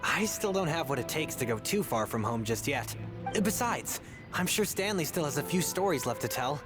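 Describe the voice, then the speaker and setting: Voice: mid-range voice, lively intonation, moderate pace, lightly acted. An actor voicing an earnest young adventurer boy. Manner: earnest, eager. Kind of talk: game voice acting. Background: game music.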